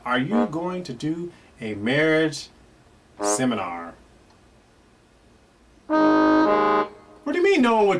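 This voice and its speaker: A middle-aged man hosting a playful question and answer show, reacting aloud to an unseen guest with lots of exclamations and laughs. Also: a little high. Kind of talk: animated comedic Q&A chat. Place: indoor room before a pale curtain. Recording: distant mic with loud guitar music behind.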